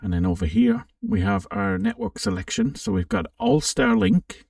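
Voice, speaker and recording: A low male voice with a gravelly fry and lively, swooping intonation. He gives an informal software walkthrough, thinking aloud as he goes, recorded in a roomy space. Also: moderate pace, studio quality. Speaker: amateur radio channel host. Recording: roomy, distant microphone.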